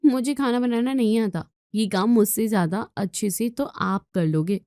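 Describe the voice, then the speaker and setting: A woman's voice: fairly high pitch, normal speed, mellow, dry recording, studio quality, voice pushed up, lightly acted. A woman voicing a lazy, spoiled, snooty young daughter in a moral story, with a flippant, whiny and dismissive manner. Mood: mocking.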